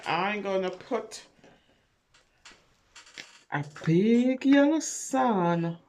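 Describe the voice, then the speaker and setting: A woman reading a picture story aloud and chatting to preschoolers in an animated, encouraging, sing-song way, speaking directly to them. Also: medium pitch. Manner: animated, encouraging, talking directly to small children. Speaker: children's story reader and craft host. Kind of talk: read-aloud and chat for preschoolers.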